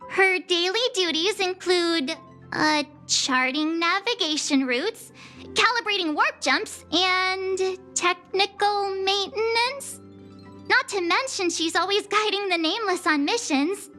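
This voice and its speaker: A woman's voice: very high voice, expressive, measured pace, bright, forceful tone, soft background music, heavily acted. An actress playing a perky, teasing young girl adventurer in a video game, casual, sassy and easily flustered. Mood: proud.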